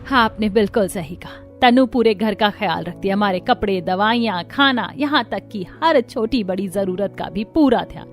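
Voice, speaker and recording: A woman's voice: medium pitch, normal speed, hardly any pauses, faint music behind, lightly acted. A woman voicing a proud, approving older mother-in-law in a cartoon story.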